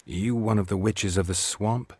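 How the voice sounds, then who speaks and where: A man voicing a gruff, stoic monster-hunting swordsman in a video game, terse and deadpan with a gravelly, husky voice. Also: fairly low pitch, normal speed, no room sound, lightly acted. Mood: probing, restrained. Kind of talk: video game character acting.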